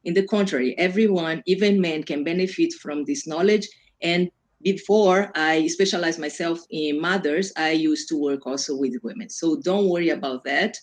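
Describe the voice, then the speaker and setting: A woman's voice: deep voice, moderate pace. A woman from Brazil with a Brazilian accent, a native Portuguese speaker, presenting an online workshop in a conversational way and rephrasing as she explains. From Brazil, Amazon region. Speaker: workshop presenter, self-development coach.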